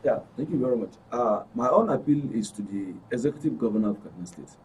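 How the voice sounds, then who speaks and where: A man's voice: medium pitch, lively intonation, fast, darkish tone, a little hiss or hum. A man with a Nigerian accent speaking as a studio guest, emphatic and repetitive in an impassioned, pleading way. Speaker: studio guest, club member. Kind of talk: impassioned interview talk. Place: radio and TV studio. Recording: distant microphone.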